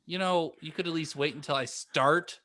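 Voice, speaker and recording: A man's voice: fairly high pitch, normal speed, sharp tone. A man co-hosting a podcast in casual chat, rambling and often interrupting his own sentences. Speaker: podcast co-host.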